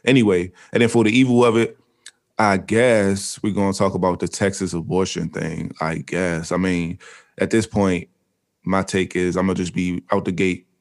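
Casual young male podcast co-host, lowish voice, animated, rambling talk. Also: normal speed. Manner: animated, rambling, laughs often.

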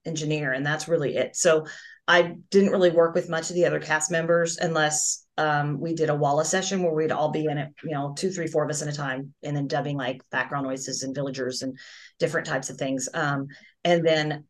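A woman's voice: sits low, fairly even intonation, fairly quick. A middle-aged woman, a voice actress and singer, chatting as a guest in a casual interview over a video call, telling stories with many restarts.

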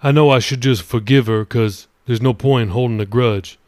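A male narrator gives a young husband a plain, earnest, soft-spoken voice close to his own. The reading sounds dry and close. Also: medium pitch, moderate pace, no echo, lightly acted. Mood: remorseful. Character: young husband, former police officer, remorseful.

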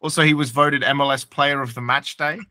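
A man co-hosting a casual sports podcast, excitable and quick to laugh. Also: mid-range voice, no echo, studio quality. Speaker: podcast co-host.

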